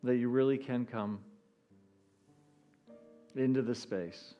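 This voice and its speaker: A man leading a prayerful guided meditation in soothing phrases with long pauses between them. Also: mid-range voice, steady tone. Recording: dull lo-fi audio under music. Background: meditation music.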